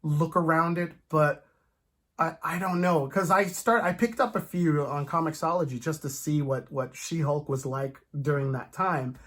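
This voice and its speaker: A podcast host chatting casually as a fan, in an animated, rambling, stop-start way with playful asides. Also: medium pitch, normal speed. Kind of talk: casual comic book fan chat.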